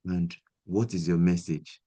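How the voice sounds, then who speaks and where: A man interviewing a guest, asking long questions in a formal, deliberate way. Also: low-pitched, fairly even intonation, warm tone. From Nigeria.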